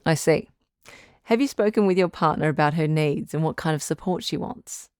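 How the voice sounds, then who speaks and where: A woman playing a calm doctor who hosts a radio advice show in an audio drama, measured, articulate and reassuring. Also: low-pitched, clear, resonant tone, no echo, clean studio sound, close to an everyday voice. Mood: measured, supportive. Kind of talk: scripted audio drama as radio call-in host.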